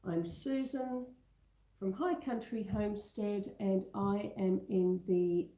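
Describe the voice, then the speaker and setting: A woman's voice: mid-range voice, steady tone, slow pace, dark tone, echoey room, low-quality recording. An Australian woman hosting a homesteading livestream, chatting casually with viewers and answering their comments, her nose still a little blocked up. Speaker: homesteading channel host. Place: indoors at home in a roomy space.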